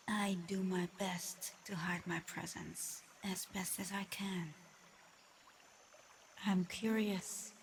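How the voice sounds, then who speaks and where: A woman acts out a reclusive, haughty she-dragon for an ASMR roleplay. She talks slowly and deliberately in a flat, controlled line, mocking and menacing with a streak of curiosity. Some background noise sits under the voice. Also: fairly low pitch. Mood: curious.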